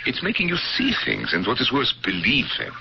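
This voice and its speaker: An actor playing a calm, protective older husband in a 1970s radio drama, his voice gravelly. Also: mid-range voice, fairly quick. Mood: soothing. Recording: lo-fi old radio broadcast with hiss.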